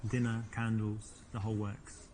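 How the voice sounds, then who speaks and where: A man from England with a softened London accent, flirting cockily in a dating game and stepping out to give earnest advice. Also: lowish voice, flat delivery, normal speed, slight background noise. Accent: London English, softened. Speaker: actor in a dating game, also giving advice. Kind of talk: acted flirting scenes and coaching asides.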